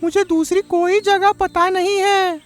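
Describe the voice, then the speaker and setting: Woman voicing a very high-pitched, earnest young frog. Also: little pitch movement, a little slow, faint music behind. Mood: pleading. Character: young male frog, polite, pleading, weary traveler. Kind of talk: Hindi children's animated story acting.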